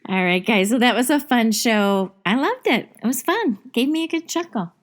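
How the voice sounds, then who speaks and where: A woman's voice: a little high, expressive, normal speed. A middle-aged woman hosting a casual podcast chat. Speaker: podcast host.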